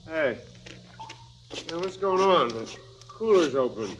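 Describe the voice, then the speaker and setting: An actor playing a wary, disgruntled and corrupt former professor in a radio drama, given to stammering. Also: male voice, a little high, lively intonation, slow pace, a little hiss or hum, low-quality recording. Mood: disgusted. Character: uneasy, corrupt former professor called Doc. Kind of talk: 1970s radio drama acting.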